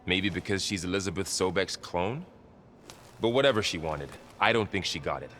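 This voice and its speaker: An actor playing a gentle, weary warrior companion in a video game, speaking in a measured, reflective way. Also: male voice, low voice, a little hiss or hum. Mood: thoughtful. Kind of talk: naturalistic video game acting.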